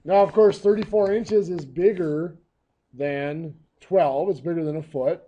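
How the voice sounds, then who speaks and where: A hoarse, coughing man teaching a math lesson, walking through each step patiently. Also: on the high side, unhurried, mellow, dull audio, slight background noise.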